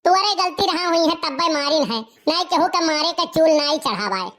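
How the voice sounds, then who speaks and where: A mischievous Awadhi village lad in a cartoon comedy. His voice is extremely high and squeaky, like a child's. He protests and wisecracks in a whiny, defiant, argumentative way. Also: lively intonation, brisk, bright, forceful tone, exaggerated voice. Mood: whiny protesting. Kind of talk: comic cartoon dialogue.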